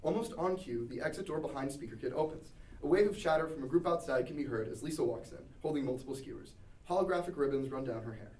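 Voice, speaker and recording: Fast-reading man in an echoey room. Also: on the high side, darkish tone. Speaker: narrator reading stage directions. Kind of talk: scripted narration at a table read. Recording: echoey room, distant mic.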